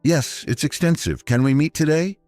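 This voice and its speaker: A man's voice: fairly high pitch, moderate pace, darkish tone, soft background music, voice pushed up, natural voice. Man acting a firm, principled veteran father. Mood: resolute. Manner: firm, restrained. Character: principled fired veteran employee, a steady widowed father.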